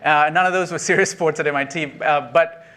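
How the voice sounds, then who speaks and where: A man's voice: fairly high pitch, steady tone, a little fast, pushed and bright. A middle-aged man from Sri Lanka speaks from a conference stage in a slightly raised voice. He talks in a loose, chatty way, full of ums, and laughs at his own jokes. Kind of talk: keynote talk to an audience.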